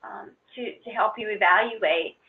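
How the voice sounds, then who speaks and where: A woman presenting on an instructional conference call, explaining things informally and somewhat haltingly. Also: medium pitch, vocal fry. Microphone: conference call line. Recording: muffled narrow-band conference phone line.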